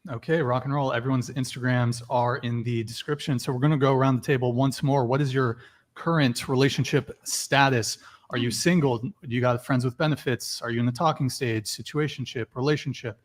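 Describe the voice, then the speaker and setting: A man hosting a casual podcast keeps prodding his guests with questions, stumbling into quick restarts. His voice sits at an ordinary middle pitch. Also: steady tone. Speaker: podcast host interviewing guests. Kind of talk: casual interview.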